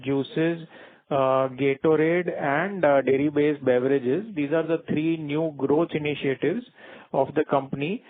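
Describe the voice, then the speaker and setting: A man questioning as a financial analyst on an earnings call, polite and hesitant in his phrasing. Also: a little high. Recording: tinny phone line.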